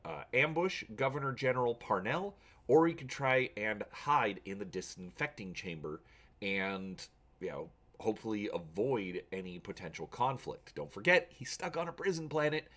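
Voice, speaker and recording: Low-voiced man with very lively delivery. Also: normal speed. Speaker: host reading a gamebook aloud for viewers. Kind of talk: casual vlog intro and dramatic story reading.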